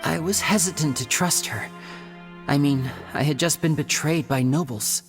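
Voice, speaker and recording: A man playing an earnest, soft-spoken young orphan magician in a video game, speaking in a gentle, measured way. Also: on the high side, soft background music, natural voice. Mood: reflective. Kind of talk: video game character voice acting.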